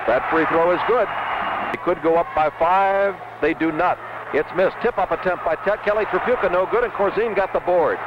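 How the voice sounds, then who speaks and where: A man's voice: high voice, normal speed. A man calling live basketball play-by-play for radio in clipped, urgent phrases. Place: basketball arena. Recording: muffled, noisy old radio broadcast.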